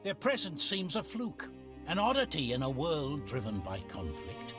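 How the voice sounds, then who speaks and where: An actor playing a detached, cynical male chronicler, narrating a video game story in a measured, knowing, theatrical way. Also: on the high side, wildly swooping pitch. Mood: wry, portentous. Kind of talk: dramatic scripted game narration. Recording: prominent music behind the voice.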